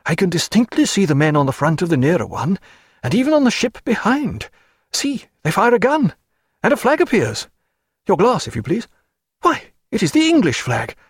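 A man voicing a timid, fussy ship's chaplain who speaks in a high, fluttering, anxious voice. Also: sing-song, fairly quick, slightly rough, dry recording, studio quality, full character voice.

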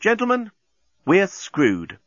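A brisk young Earth woman in a comic space story, acted out by a man, matter-of-fact and plain-spoken. The pitch is lifted and the recording slightly dull. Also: wildly swooping pitch, fairly bright tone, no echo, heavily acted. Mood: brisk, resigned.

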